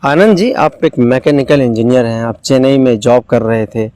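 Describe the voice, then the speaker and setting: A man's voice: mid-range voice, moderate pace, mellow. A man working as an interviewer, putting long, wordy questions that repeat and build on their phrases.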